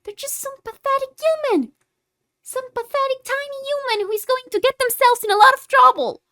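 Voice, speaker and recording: A woman's voice: very high voice, normal speed, bright, forceful tone. A woman playing a haughty, secretly caring tsundere vampire in a scripted ASMR roleplay, condescending and easily exasperated. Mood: worried, grumbling. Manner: condescending, exasperated, flustered scolding with sighs.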